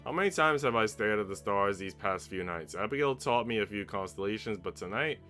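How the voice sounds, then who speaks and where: A man's voice: lowish voice, expressive, brisk, bright, forceful tone. A man streaming a visual novel, mixing slangy, casual reactions with reading the narration aloud. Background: game music.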